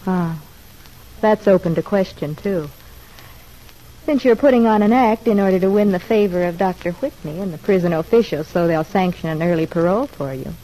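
A woman's voice: fairly low pitch, normal speed, dark tone, slight background noise, close to an everyday voice, rough recording. An actress playing a teasing, slangy woman prisoner who mocks her cellmate in an old-time radio drama. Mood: mocking.

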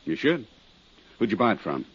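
A man's voice: lowish voice, very animated, moderate pace, slight background noise. A man playing a businesslike police desk sergeant in a 1950s radio drama, asking terse questions. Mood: businesslike, dry. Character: matter-of-fact male police desk sergeant. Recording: muffled 1950s radio recording.

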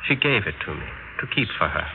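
A man acting a smooth, impatient, scheming German husband in an old-time radio drama. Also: low voice, very animated, fast talker. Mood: impatient, defensive. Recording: noisy, narrow-band old radio recording.

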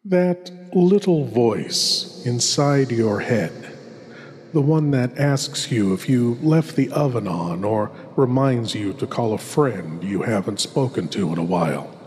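Man as a courtly ghoulish host, slow dramatic delivery, hushed menace, theatrical horror show, reverberant sound. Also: mid-range voice, lively intonation. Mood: eerie, courtly. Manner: hushed, deliberate, dramatic pauses. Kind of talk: theatrical scripted horror hosting. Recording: long reverberant echo.

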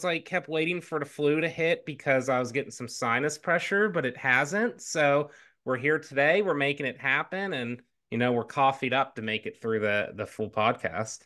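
An upbeat man co-hosts a podcast in a loose, chatty way. His voice is bright and forward, with a slight nasal edge from allergies. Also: on the high side. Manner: upbeat, chatty, lots of fillers. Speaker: podcast co-host. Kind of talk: casual podcast chat.

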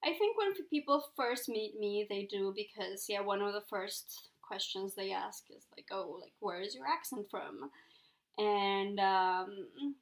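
A woman's voice: mid-range voice, lively intonation, measured pace. A young woman from Ecuador, a guest in a casual interview, talking thoughtfully with lots of ums and likes. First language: Spanish. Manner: thoughtful, many fillers like "um" and "like".

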